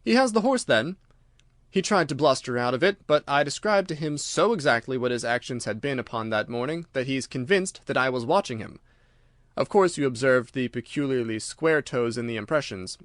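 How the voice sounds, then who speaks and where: A man's voice: medium pitch, lively intonation, brisk, a bit bright, no room sound. A man reading scripted narration of a classic detective story.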